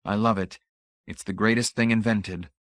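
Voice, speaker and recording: A man's voice: lowish voice, fairly even intonation, moderate pace, no echo, studio quality, natural voice. Man acting exasperated young male scientist. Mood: weary, resigned. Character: young, idealistic male statistician, exasperated and weary.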